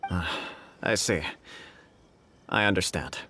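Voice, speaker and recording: Casting: courteous samurai official, fairly low, dignified, earnest, formal game dialogue. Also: male voice, slow, pushed and bright, a little hiss or hum, full character voice. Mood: subdued. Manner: formal, polite. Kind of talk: video game voice acting.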